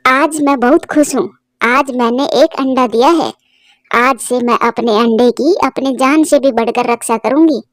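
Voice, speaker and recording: Lady doing a sad old mama bird for a cartoon, high voice, tender n plaintive, super dry sound. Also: a little slow, dry recording, lightly acted. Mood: happy, tender. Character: sorrowful elderly mother bird, self-sacrificing and tender.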